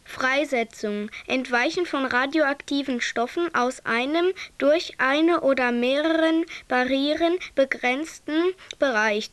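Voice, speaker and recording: A woman playing a detached, deliberate glossary voice that reads definitions formally in a radio play. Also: high-pitched, fairly bright tone, no echo.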